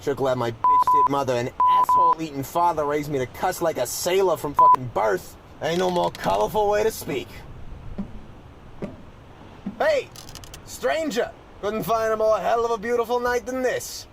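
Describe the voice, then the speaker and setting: Salty old sailor, high-pitched, swooping wildly, folksy and crude, radio play parody. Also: male voice, huge pitch swings, moderate pace, creaky, background noise, heavily acted. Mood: grumbling, boastful. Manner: folksy, swearing, exclamatory delivery.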